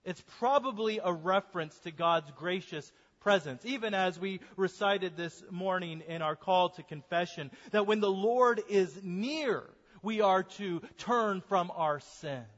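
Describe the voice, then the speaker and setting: A high-voiced male pastor delivers an earnest sermon and draws out his key phrases. His voice carries a long reverb from the church sanctuary, and the recording sounds dull and muffled. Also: a bit bright. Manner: earnest preaching, slows for emphasis.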